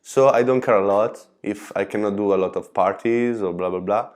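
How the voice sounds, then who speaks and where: A young man speaks second-language English in a relaxed, offhand way. He waves ideas away and lets his sentences trail off. His voice is slightly low. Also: normal speed. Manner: casual, trails off with "blah, blah". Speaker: exchange student. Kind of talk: documentary interview answer.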